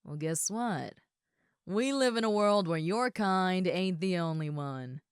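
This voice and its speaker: A woman playing a big, friendly ogre roommate in an audio roleplay, chatting casually and gently to the listener. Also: fairly low pitch, animated, takes it slowly, clean tone, dry recording, studio quality. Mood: easygoing, chatty. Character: huge muscular red female ogre roommate, friendly and easygoing.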